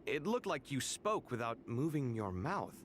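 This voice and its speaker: A man's voice: medium pitch, very animated, moderate pace, a little hiss or hum, natural voice. A man playing an inquisitive, easygoing adventurer in a video game. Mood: curious.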